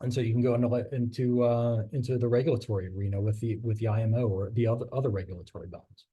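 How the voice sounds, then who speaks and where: A man's voice: mid-range voice, fairly even intonation, rapid-fire, dark tone, breathy edge. A middle-aged man giving a casual talk about his maritime career, loose and chatty with many fillers and restarts, and losing his voice a little. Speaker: guest speaker on a maritime career.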